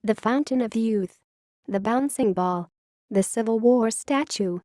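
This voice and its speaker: A woman playing a wistful, grave founding scientist who confesses a secret history in a measured, literary audio-log monologue. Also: on the high side, darkish tone, dry recording, studio quality, natural voice. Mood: confessional, uneasy.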